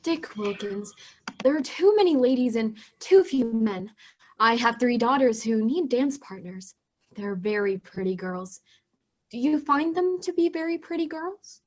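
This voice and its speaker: A woman's voice: a little high, lively intonation, unhurried, darkish tone. A woman playing a bossy, jolly married hostess and mother in an amateur radio play. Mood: insistent. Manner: commanding, matchmaking.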